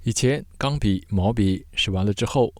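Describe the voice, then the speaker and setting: A man teaching vocabulary word by word in measured, short separated phrases, as a scripted lesson. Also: on the low side, a little mellow, no echo, studio quality.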